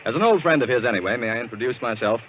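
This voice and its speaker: An actor playing a courteous, easygoing and tactful gentleman in a 1940s radio drama style. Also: medium pitch, animated, very fast, natural voice. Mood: friendly, courteous. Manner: polite, smooth-mannered. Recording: faintly noisy old radio recording.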